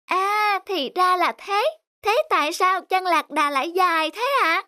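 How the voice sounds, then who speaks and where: A woman's voice: very high-pitched, measured pace, sharp tone, dry recording, clean studio sound, exaggerated voice. A woman voicing a curious small child in a cartoon, full of questions and exclamations. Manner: inquisitive, exclaiming. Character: curious, wide-eyed small child at the zoo. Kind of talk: animated cartoon dubbing.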